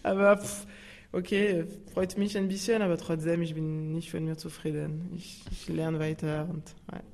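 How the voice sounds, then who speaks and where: A woman from France with a French accent, a singer-songwriter guest speaking halting German full of fillers and restarts in a radio interview. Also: sits low, flat delivery, a little mellow, a little hiss or hum.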